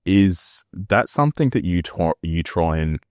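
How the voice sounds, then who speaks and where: A man's voice: low-pitched, animated, a little slow, smooth, no room sound, clean studio sound. A man hosting a casual podcast conversation, laid-back and joking, swearing casually. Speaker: podcast host.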